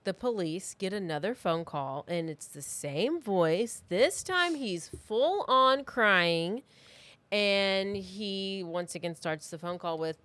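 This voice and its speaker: A woman podcast host tells a story casually, as if chatting with a friend. Her voice sits at an average pitch, and she is informal and blunt, recorded close and dry. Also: lively intonation, slow pace, fairly bright tone, no room sound. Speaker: true crime podcast host.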